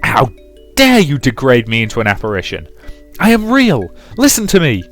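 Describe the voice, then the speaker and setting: A man's voice: mid-range voice, huge pitch swings, normal speed, fairly bright tone, voice pushed up. A man voicing a possessive, bitter and pleading ghost of a mother in a dramatic visual novel read-aloud, theatrical and emphatic. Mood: indignant. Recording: music behind the voice.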